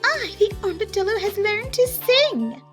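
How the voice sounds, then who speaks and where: A woman's voice: very high voice, animated, a little slow, music in the background, pitched above the performer's own voice. A woman voicing a tiny, teasing storybook canary with a twittering delivery. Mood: amazed.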